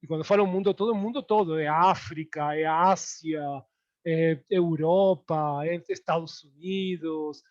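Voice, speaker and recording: A man from Argentina with an Argentine Spanish accent, speaking as a guest artist in a relaxed, digressive way with many hesitations. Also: a little high, a little slow, dull audio. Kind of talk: online panel talk.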